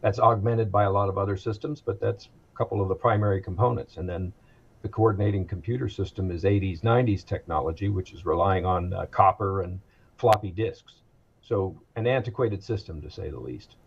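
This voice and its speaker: An American man speaking as an expert guest in an interview, explaining things in a measured, matter-of-fact way. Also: low voice, gravelly, slightly rough, lo-fi sound. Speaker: aviation executive, interview guest.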